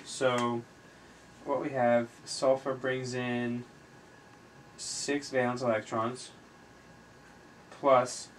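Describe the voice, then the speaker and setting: A man giving an informal chemistry tutorial, explaining step by step in a halting way as he thinks aloud while writing. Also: medium pitch. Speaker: chemistry tutor, video host. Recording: noisy amateur recording with room ambience.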